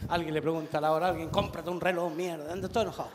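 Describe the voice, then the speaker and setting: A Chilean comedian playing a bossy director in a live stage sketch, giving orders in an exaggerated way. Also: male voice, fairly high pitch, steady tone, rapid-fire, a little hiss or hum. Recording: live festival stage with hall echo.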